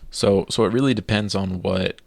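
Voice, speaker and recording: A man's voice: sits low, fairly even intonation, normal speed, vocal fry, dry recording. A man co-hosting a podcast, chatting casually and enthusiastically with many fillers. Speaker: podcast co-host. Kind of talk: casual chat.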